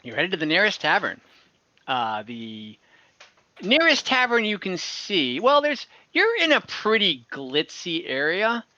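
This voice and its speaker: A man improvising game master narration in a halting, informal, jokey way over a video call. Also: high-pitched, very animated, sharp tone. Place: indoors at home on a video call. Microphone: computer or headset mic on a video call. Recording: dull, compressed video call audio.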